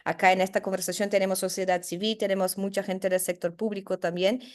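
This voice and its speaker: A woman's voice: mid-range voice, flat delivery, quick pace. A woman moderating an online session, introducing the guest speakers by reading their bios. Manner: reads speaker bios formally. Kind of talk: webinar moderation.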